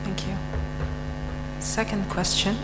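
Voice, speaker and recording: Female panel moderator, brisk; echoey hall, long reverb, heavy hum underneath. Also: medium pitch, flat delivery. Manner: brisk, businesslike.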